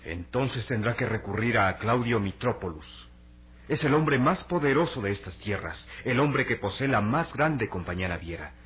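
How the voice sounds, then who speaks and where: An actor playing a cautious, worried, dignified ambassador in a radio serial, formal and measured. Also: male voice, mid-range voice, animated, natural voice. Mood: advisory. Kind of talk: Latin American radio serial acting. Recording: dull old radio recording, hum and hiss.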